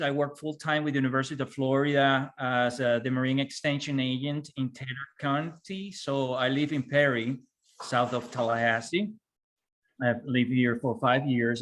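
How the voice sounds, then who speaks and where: A man's voice: medium pitch, bright, forceful tone. Earnest middle-aged man from Venezuela. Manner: earnest, halting, with restarts. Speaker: instructor in training.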